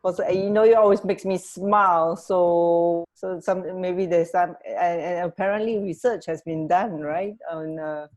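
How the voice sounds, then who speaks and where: A woman co-hosting a radio show, chatting casually and haltingly over an internet connection. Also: on the low side, steady tone, a little mellow. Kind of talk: casual chat.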